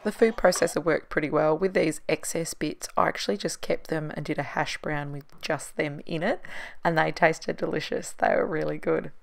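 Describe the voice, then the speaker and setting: A woman's voice: low-pitched, steady tone, moderate pace, gravelly. A woman in her thirties, chatting casually in a voice-over about what she cooked, laughing at her own shortcuts. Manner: chatty, self-deprecating, laughs at herself. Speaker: cooking vlogger.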